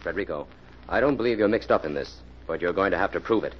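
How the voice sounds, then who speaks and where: A man's voice: low voice, animated, quick pace, a little hiss or hum. A man playing a cool, authoritative police detective in 1940s radio style, speaking firmly in clipped, commanding phrases. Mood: stern. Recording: muffled old radio recording, music behind.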